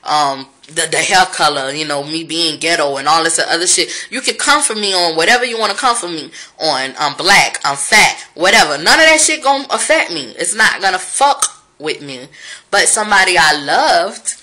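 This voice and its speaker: A woman's voice: lowish voice, expressive, moderate pace, bright, forceful tone. A woman, talking frankly and profanely to her viewers in a reflective vlog monologue. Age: 30s.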